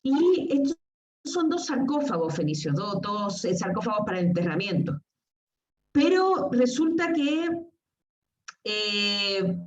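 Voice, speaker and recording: A woman from southern Spain, giving an academic talk with slides and telling stories to her audience. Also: on the high side. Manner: storytelling, addresses audience directly with questions. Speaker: lecturer. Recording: echoey, distant, dull lo-fi sound.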